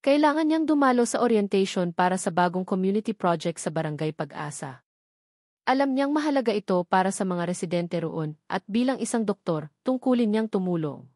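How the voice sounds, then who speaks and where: A woman's voice: mid-range voice, darkish tone, dry recording, studio quality. A woman reading a scripted dramatic story as its narrator.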